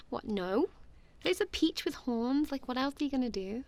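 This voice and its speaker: A woman's voice: high voice, expressive, moderate pace, a little mellow. A woman playing a goofy, affectionate friend in an ASMR roleplay, chatting casually in a playful, giggly, rambling way.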